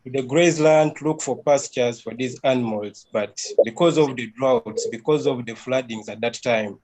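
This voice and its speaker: A man's voice: medium pitch, moderate pace, darkish tone, low-quality recording. A man answering on a panel, telling his story and posing and answering his own questions. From eastern Uganda. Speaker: panelist, climate activist.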